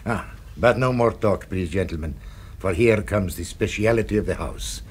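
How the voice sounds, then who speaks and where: An actor playing an effusively courteous, secretive restaurant proprietor in a 1970s radio drama, husky and given to ending remarks with "eh?". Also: male voice, low voice, moderate pace. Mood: ominous, philosophical. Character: unctuous, secretive male restaurant proprietor, darkly philosophical.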